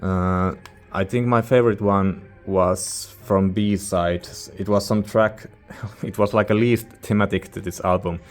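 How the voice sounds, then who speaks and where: Male reviewer, non-native English speaker: low-pitched, very even intonation, informal and halting, rambling casually. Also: faint music behind. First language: not English. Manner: hesitant, informal, searching for words. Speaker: music reviewer, channel host.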